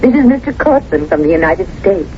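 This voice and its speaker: A woman's voice: on the low side, sing-song, a little fast. An actress playing a smitten, candid young woman in a 1970s radio drama, romantic and assured. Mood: assured, teasing. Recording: dull, noisy old radio recording.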